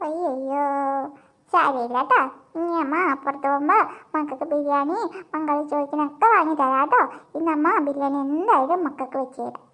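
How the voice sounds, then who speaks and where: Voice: sits high, fairly quick, sharp tone. A performer voicing a flustered mother in a comic family cartoon, soothing and placating her children. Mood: coaxing, placating.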